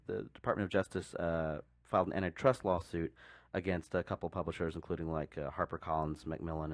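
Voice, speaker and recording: Very low-voiced man, rambling podcast talk. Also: no echo, studio quality. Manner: rambling, halting explanations. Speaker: podcast co-host. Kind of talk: casual discussion.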